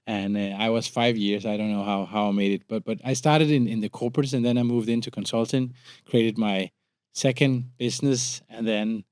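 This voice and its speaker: A man's voice: fairly low pitch, steady tone, darkish tone, clean tone, no echo. Danish-accented man, dry close sound. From Denmark. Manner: informal, halting with repeated words. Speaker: podcast guest, consultant. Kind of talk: casual conversational interview.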